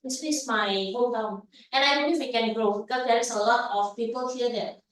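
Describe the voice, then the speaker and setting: A woman with a high voice speaks as a visiting guest in halting, friendly words. She sounds far off in a very echoey room. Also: fairly even intonation. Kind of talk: impromptu guest remarks.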